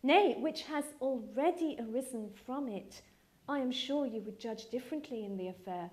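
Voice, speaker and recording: Woman acting a young Regency daughter, mellow voice, earnest, sensible, urgent, storybook character. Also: high voice, normal speed, voice pushed up, natural voice. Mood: earnest concern.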